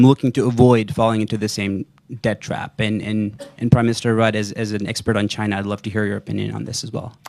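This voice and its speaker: A man's voice: fairly low pitch, darkish tone, dry recording. A man from Nepal asking a question from the audience at a forum, phrasing it tentatively with repeated words.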